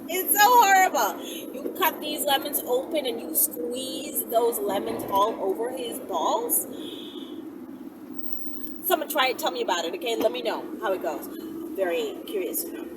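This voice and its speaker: Animated, high-pitched woman over loud noise. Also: far from the mic. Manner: animated, giggly, playful. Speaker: host answering viewer questions. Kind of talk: casual vlog chat to viewers. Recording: loud ambient vehicle noise, off the mic.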